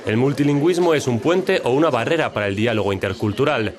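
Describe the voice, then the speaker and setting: A man giving a scripted news introduction as presenter, in a brisk, even delivery. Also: mid-range voice, a crowd in the background. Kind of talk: scripted narration.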